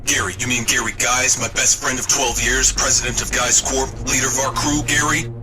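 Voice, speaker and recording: A man's voice: medium pitch, steady tone, a little fast, over music, natural voice. An actor playing a loyal, shaken crewman in a dramatic cyberpunk game cutscene. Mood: disbelieving.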